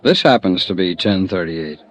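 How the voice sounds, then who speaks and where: An actor playing an easygoing, speculative colleague of a detective, conversational with hesitant asides, in 1950s radio drama style. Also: male voice, low voice, lively intonation, normal speed. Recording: dull vintage radio recording.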